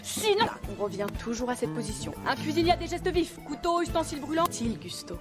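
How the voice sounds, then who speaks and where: An actress playing a tough, proud woman cook in an animated film, brisk and bossy as she instructs. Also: high-pitched, over music. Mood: brisk, instructing. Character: tough, fiery, proud female professional cook.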